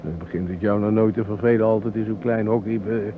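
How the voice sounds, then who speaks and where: An actor playing a chatty train conductor, bantering and grumbling, in a naturalistic radio drama. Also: male voice, fairly low pitch, fairly even intonation, quick pace, narrow, tinny audio, background noise, natural voice.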